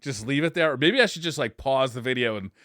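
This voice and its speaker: A man's voice: mid-range voice, animated, fast talker, a bit bright, no room sound, clean studio sound. A middle-aged man co-hosting a podcast, telling stories in a casual, self-deprecating and readily amused way. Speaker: podcast co-host. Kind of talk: casual chat and storytelling.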